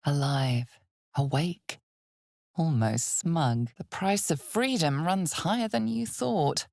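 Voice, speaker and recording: Casting: a knowing, ominous female narrator for a dark fantasy video game. Deep for a woman, gravelly, measured storyteller delivery. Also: dry recording, studio quality, heavily acted.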